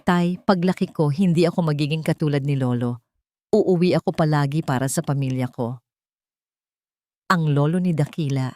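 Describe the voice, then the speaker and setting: A woman's voice: low-pitched, dark tone, dry recording, clean studio sound. A woman with a Filipino accent reading a scripted, dramatic story as narrator.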